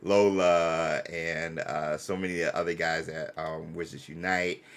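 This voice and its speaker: A man with a fairly low voice hosts a casual live stream. He talks in loose, effusive slang, tossing in "bro" often, like a gamer chatting with his community. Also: flat delivery, measured pace, clean tone. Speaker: host of a gaming fan channel.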